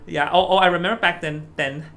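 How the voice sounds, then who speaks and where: A young man from Hong Kong with a Hong Kong accent, chatting casually as an esports commentator, animated and stumbling over restarts. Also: fairly high pitch, brisk. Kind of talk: casual stream commentary chat. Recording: faint background noise.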